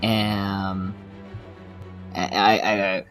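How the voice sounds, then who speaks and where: A woman's voice: very deep voice, flat delivery, extremely slow, music in the background. A woman with a New Zealand accent, playing in a tabletop game, chatty and playful with rules questions. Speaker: player. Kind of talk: tabletop game play chat.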